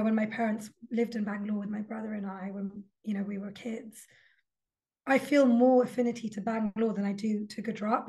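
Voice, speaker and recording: A woman's voice: a little high, darkish tone, poor audio. A young adult woman, a guest author talking reflectively in a casual interview, with frequent ums and likes. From London.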